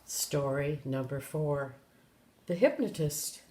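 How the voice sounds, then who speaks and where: An older woman reading a children's story aloud in an expressive way, with brief asides. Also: low voice, slow pace, noisy background. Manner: expressive, animated. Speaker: storybook reader. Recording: roomy echo.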